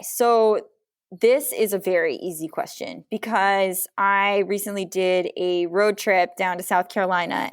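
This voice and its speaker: Smooth-voiced woman, dry close sound. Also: mid-range voice, no echo. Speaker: guest novelist. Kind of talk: casual interview conversation.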